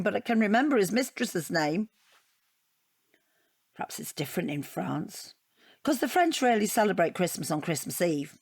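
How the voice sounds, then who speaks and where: An actress playing a wounded wife in an audio drama, rambling in a wry, deadpan and digressive way. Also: female voice, on the low side, husky. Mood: wry, musing. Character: wounded, rambling wife dissecting her unwanted portrait gift. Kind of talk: audio drama monologue and dialogue.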